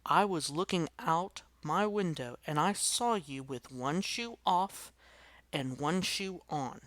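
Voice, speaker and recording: A woman's voice: sits low, slow, no echo. A woman voicing a stern, officious male school principal who lectures about rules. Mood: scolding.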